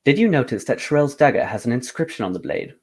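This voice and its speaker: A man playing a confident, methodical gentleman detective in an old-time radio drama, with a formal, theatrical delivery. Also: medium pitch, sing-song, fast, husky. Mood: reflective.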